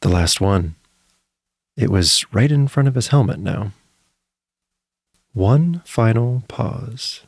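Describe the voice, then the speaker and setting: A man narrating a scripted story in a measured, suspenseful storytelling manner. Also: on the low side, lively intonation, a little mellow, breathy edge, no echo, studio quality.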